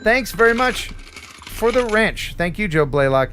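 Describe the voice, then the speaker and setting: A man hosting a live stream in a casual, chatty way, reading out donations with bursts of excitement. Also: sits high, a little slow, background noise. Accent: neutral radio American. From Philadelphia area. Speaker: livestream host.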